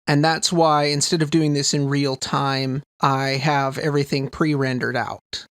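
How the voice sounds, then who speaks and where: A man with a fairly high voice and noticeable vocal fry walks through a tutorial in an informal, explanatory way. He sounds keen on his gear, and the voice is recorded close and very dry. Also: fairly bright tone, no echo. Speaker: sound designer presenting a tutorial. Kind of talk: casual explanatory tutorial narration.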